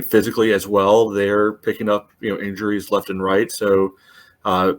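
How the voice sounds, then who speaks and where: A man co-hosting a soccer podcast, talking in a laid-back, plain-spoken way. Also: fairly low pitch. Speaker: podcast co-host. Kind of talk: casual podcast chat.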